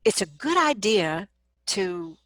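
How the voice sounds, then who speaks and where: A woman speaking as a vocal coach in an interview, explaining advice conversationally and acting out examples in an animated manner. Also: mid-range voice, slow pace, dry recording, clean studio sound.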